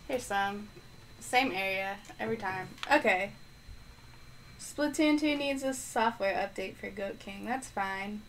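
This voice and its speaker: A woman's voice: mid-range voice, takes it slowly, pauses often, a bit bright, slight background noise. A woman streaming a video game live, chatting casually as she thinks aloud and responds to viewers, with her microphone placed on the floor. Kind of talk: live gameplay stream commentary.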